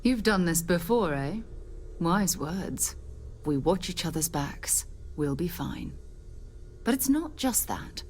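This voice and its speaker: Composed, low-voiced space explorer captain. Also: female voice, big pitch swings, slow, darkish tone, slight background noise. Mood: reassuring, businesslike. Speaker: expedition leader. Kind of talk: video game character dialogue.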